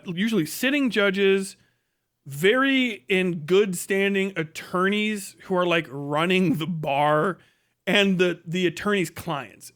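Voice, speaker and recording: A man's voice: high-pitched, fairly bright tone. A man giving casual livestream commentary in a blunt, profane, rambling way. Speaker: livestream host.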